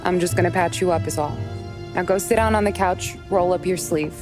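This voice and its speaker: A woman's voice: lowish voice, fairly quick, natural voice. A woman playing a blunt, wry young woman. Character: tough, sardonic young woman, no-nonsense. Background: game score music.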